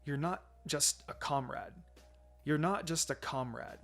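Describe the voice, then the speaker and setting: Someone reading as a quiet reserved young ninja guy, caring, restrained, story with music behind. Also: mid-range voice, measured pace, vocal fry. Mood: concerned.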